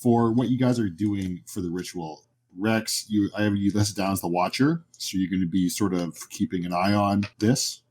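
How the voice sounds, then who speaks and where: Lowish-voiced man running a tabletop game. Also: moderate pace, hardly any pauses, darkish tone. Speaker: game master.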